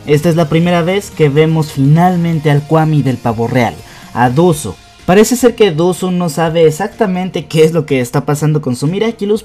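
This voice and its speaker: A young man with a slightly high voice narrates an episode breakdown as an online host. He explains point by point in a conversational, emphatic way. Music plays softly behind him. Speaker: YouTube host. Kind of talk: narrated cartoon episode breakdown, countdown list. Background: background music.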